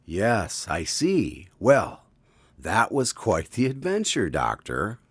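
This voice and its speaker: A man's voice: lowish voice, huge pitch swings, slow, slightly rough, dry recording, clean studio sound, heavily acted. A man playing a courteous, attentive interviewer who hosts and announces a scripted radio drama. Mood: curious, genial. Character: courteous, attentive male interviewer hosting the doctor's tales. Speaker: host and announcer.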